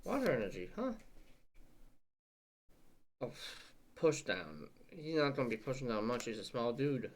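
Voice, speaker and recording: Sniffly young man muttering slowly. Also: medium pitch, sing-song. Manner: rambling, muttering asides, swears casually. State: sniffly, stuffed up. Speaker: channel host opening card packs. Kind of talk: casual unboxing vlog chat.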